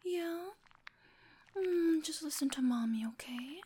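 A woman speaks in a breathy, high-pitched whisper, very slowly and intimately, as in adult ASMR roleplay. Her manner is soothing, coaxing and affectionate. There is faint noise and clicking behind her. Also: mellow.

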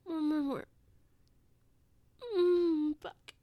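A woman playing an amorous, playful lover in an intimate adult audio roleplay, breathy and often slipping into whispers. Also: on the high side, steady tone, a little slow, highs cut off, heavily acted. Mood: aroused, breathless.

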